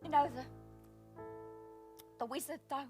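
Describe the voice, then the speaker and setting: Scheming pantomime townswoman, acted by a woman, very high and theatrical, with clear music behind. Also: fairly even intonation, a little slow. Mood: prompting. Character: pantomime townswoman, a dramatic, scheming parent of a selfish girl. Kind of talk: live comic pantomime acting.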